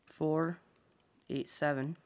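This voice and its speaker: A man's voice: on the high side, steady tone, slow pace. A man casually narrating a hands-on setup, muttering to himself in short fragments, recorded indoors on a handheld device. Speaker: hobby video maker. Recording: very noisy handheld home recording with beeps. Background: loud noise, button clicks and electronic beeps.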